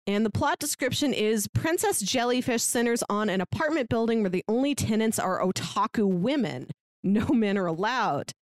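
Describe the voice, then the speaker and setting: A woman's voice: a little high, no room sound, clean studio sound. A woman hosting a podcast in a casual, chatty style. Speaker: podcast host.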